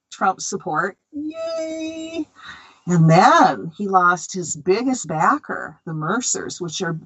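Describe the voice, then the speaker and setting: A woman's voice: medium pitch, a bit bright, poor audio. An American woman hosting a spiritual radio show, talking in a chatty, opinionated way with many fillers. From United States. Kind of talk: casual spiritual radio talk.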